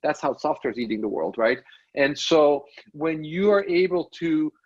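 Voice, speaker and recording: A man's voice: a little high, expressive, moderate pace, highs cut off. A man from Belgium, a podcast guest who thinks aloud in a casual interview, often checking in with "right?" and restarting his sentences. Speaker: podcast guest, tech studio lead.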